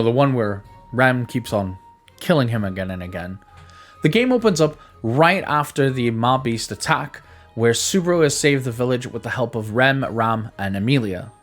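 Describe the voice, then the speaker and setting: A man hosting a game review, reading narration with casual, informal asides and the odd repeated word. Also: medium pitch. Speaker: video game reviewer and channel host.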